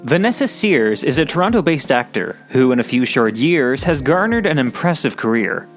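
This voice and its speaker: Reflective male host with a lively voice. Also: mid-range voice, soft background music. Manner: reflective, measured. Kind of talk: scripted documentary narration.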